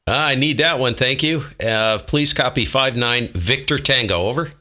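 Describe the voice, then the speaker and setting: A man's voice: mid-range voice, moderate pace, sharp tone. An American man operating a ham radio, giving call signs and making casual side comments as host.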